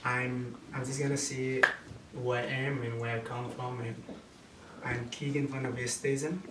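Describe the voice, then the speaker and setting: A man in his late twenties with a Cape Town South African accent, giving a personal testimony in a preaching, storytelling way, in deliberate phrases with repetition. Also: mid-range voice, level tone. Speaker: guest speaker giving testimony. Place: indoor room with small seated audience. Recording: roomy, distant camera mic with noise.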